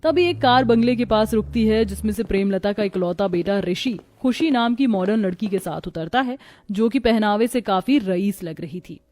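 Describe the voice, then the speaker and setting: A woman reading scripted narration for an animated moral story. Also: medium pitch, moderate pace.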